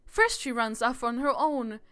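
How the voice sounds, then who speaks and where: A woman's voice: on the high side, very animated, measured pace, a bit bright, clean tone, no room sound, clean studio sound. A woman voicing an exasperated, haughty royal elder sister who scolds and complains theatrically in a visual novel read-aloud. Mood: indignant, fed up.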